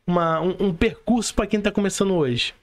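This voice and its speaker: A man's voice: sits high, a little fast. A Brazilian man hosting a podcast, speaking informally to audience and guest with frequent check-in tags. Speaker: podcast host.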